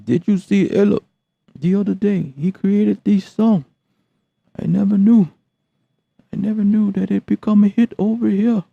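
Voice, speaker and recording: A man voicing an excitable, joking man with a put-on island-style accent, talking high and playful. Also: normal speed, creaky, pauses often, no echo. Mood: excited. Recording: dull lo-fi sound.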